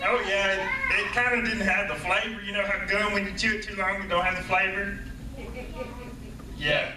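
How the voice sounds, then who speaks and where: A man's voice: high-pitched, steady tone, normal speed, natural voice. A man voicing an eager, rambling boy puppet, who can't wait for his Christmas presents. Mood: excited. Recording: echoey sanctuary, distant camera, noise.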